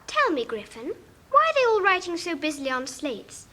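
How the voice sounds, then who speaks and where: Curious outspoken young girl, bright high voice, precise RP English, storybook drama, noisy old record. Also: big pitch swings, normal speed, full character voice. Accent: British Received Pronunciation. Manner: precise, forthright, questioning. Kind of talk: 1950s British audio storybook acting. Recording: noisy 1950s record with clicks.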